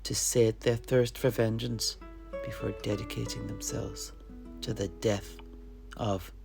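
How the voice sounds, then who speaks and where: A man playing an alchemist storyteller, recounting fantasy legends of his homeland in a theatrical way with dramatic pauses. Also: on the low side, level tone, creaky, music in the background. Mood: dramatic storytelling. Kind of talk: in-character fantasy tale narration.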